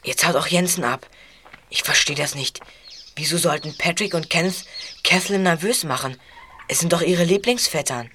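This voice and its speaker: A woman's voice: deep voice, moderate pace, noisy background, lightly acted. A woman playing a watchful young boy detective in an audio drama. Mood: puzzled.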